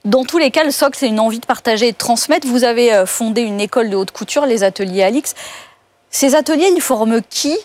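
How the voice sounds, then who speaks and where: French woman interviewer, higher voice. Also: quick pace, a little mellow. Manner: reformulates and prompts the guest. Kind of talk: interview questions.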